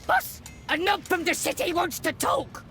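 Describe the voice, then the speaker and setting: Tiny shrill goblin sidekick, super high n piercing, servile, jumpy, game voice. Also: male voice, very animated, a little slow, bright, forceful tone, faint music behind, full character voice. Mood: nervous, servile. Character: small, squeaky, eager goblin-like underling. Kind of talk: video game character acting.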